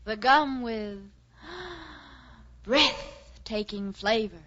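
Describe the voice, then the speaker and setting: A woman reads a radio ad slogan, punctuated by breathy gasps. Her voice is fairly high and extremely drawn out. The old recording sounds muffled. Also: lively intonation, extremely slow, pushed and bright. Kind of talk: radio jingle advertising.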